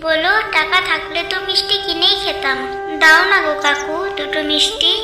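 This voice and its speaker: A very high little girl's voice for a cartoon. She is persistent and sing-song, always wheedling. The sound is echoey, with music behind it. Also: fairly even intonation, moderate pace. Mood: pleading. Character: persistent sweet-loving little village girl. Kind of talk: cartoon child voice.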